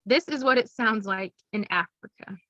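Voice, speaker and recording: A woman's voice: mid-range voice, moderate pace, pushed and bright, no room sound, muffled recording. A young woman giving an upbeat, teacherly presentation to schoolchildren, explaining things plainly. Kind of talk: recorded classroom presentation.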